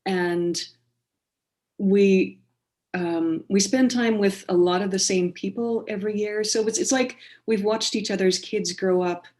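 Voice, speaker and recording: Slow, measured canadian woman poet. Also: mid-range voice, darkish tone. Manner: thoughtful, measured, many fillers and restarts. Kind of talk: online book launch conversation and poetry reading. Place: home, over a video call. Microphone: video call.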